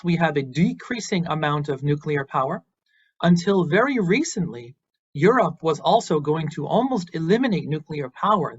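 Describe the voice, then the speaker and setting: Guy giving an online lecture, bit high voice, earnest and encouraging, kinda muffled audio. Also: husky. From Boston, United States. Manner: earnest and encouraging, with some fillers. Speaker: university lecturer.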